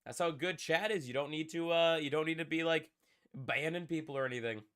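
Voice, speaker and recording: A man's voice: a little high, fairly quick, fairly bright tone, clear, resonant tone. A young man with a congested voice, chatting casually with his live-stream viewers and rambling with repeats. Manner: rambling, repeats himself, talks to chat. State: congested from a cold.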